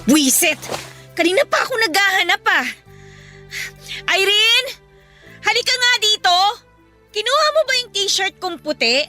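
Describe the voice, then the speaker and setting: Woman acting very high-pitched, irritable big sister. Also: expressive, unhurried, long pauses, sharp tone, heavily acted. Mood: irritated, scolding. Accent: Filipino. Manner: scolding, exasperated, complaining. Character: irritable, aggrieved elder sister in her twenties. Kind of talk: radio drama acting. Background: music.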